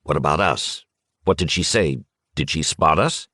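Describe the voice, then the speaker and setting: A man's voice: a bass voice, pushed and bright, no room sound, studio quality, full character voice. A man reading an audiobook and voicing a hot-tempered, scornful village husband who sneers and snaps impatiently. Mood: angry. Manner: impatient, sneering and quick to anger. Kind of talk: audiobook character voice.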